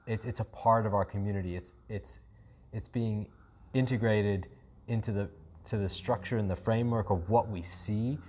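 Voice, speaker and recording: Casting: New Zealand male host, low voice, eager, meandering chat. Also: dark tone. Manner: enthusiastic, rambling with many fillers. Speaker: podcast host. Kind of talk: casual chat.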